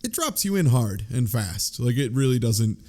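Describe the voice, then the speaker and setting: A man with a mid-pitched voice chats as one of a podcast panel. He talks in a casual, jokey way and tends to riff on ideas bluntly. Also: moderate pace. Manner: animated riffing, profane, jokey. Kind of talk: casual book club discussion.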